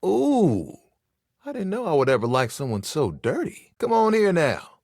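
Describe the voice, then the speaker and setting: A man's voice: on the high side, huge pitch swings, measured pace, a little mellow, no room sound, clean studio sound, heavily acted. A man voicing a flirty, laid-back living towel in a video game, playful and heartfelt. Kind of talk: video game character acting.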